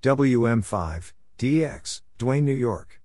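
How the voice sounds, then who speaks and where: A man reading out call signs and short radio exchanges for code practice in clipped, deliberate, separated phrases. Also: medium pitch, animated, darkish tone, no room sound, clean studio sound. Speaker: Morse code practice narrator.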